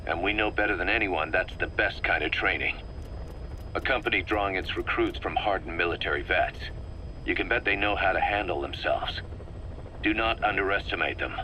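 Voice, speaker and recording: A hardened mercenary officer in a video game, with a low, forceful voice. He briefs his commander in a deliberate, emphatic military manner, wry and intense, over faint background noise. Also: rough recording. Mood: businesslike, warning.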